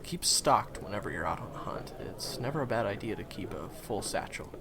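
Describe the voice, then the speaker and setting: A man playing a friendly, reassuring guide and former hunter in a fantasy game audio roleplay, talking conversationally to the listener with hesitant pauses. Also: lowish voice, animated, creaky, very noisy. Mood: casual, instructive.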